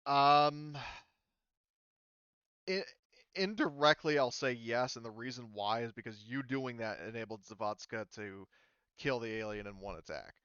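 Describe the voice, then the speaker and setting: A man's voice: mid-range voice, unhurried, clear, resonant tone, no echo, dull audio. A man running a tabletop roleplaying game as game master, talking casually and hesitantly with many ums. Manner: relaxed, many ums and restarts.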